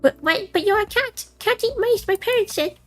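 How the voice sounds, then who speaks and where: Woman playing a tiny baby mouse, very high squeaky voice, timid and trembling, soft music behind. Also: lively intonation, moderate pace. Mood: frightened. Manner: squeaky, tremulous and breathless.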